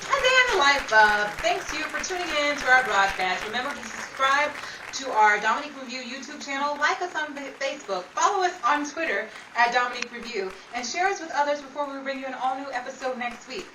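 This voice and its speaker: A woman's voice: high voice, lively intonation, fast. A woman announcer reading a scripted radio show sign-off. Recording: echoey room, distant mic, clear noise.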